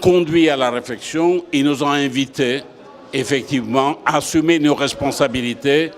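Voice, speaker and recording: An older man from Portugal, president of an association, speaking in a measured, deliberate interview. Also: mid-range voice. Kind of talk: interview statement.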